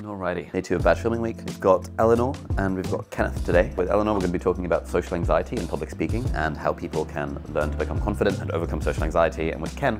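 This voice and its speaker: A man talking casually to camera in a vlog, chatty and quick, with lots of "like" as filler. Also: deep voice. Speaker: vlogger and podcast host.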